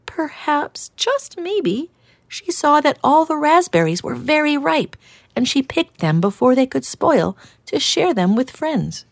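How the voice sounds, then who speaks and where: A woman voicing a gentle, hopeful male hedgehog in a storybook reading, soft and tentative. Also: high-pitched, very animated, measured pace, vocal fry, no echo, voice pushed up, exaggerated voice.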